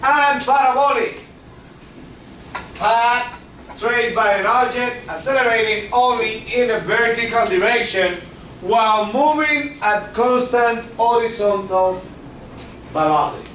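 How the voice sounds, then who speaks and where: A man's voice: very high voice, measured pace, fairly bright tone, slight background noise. A man with a Cuban accent teaching a physics class, often checking in with his students. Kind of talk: classroom lecture. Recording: echoey classroom from far off.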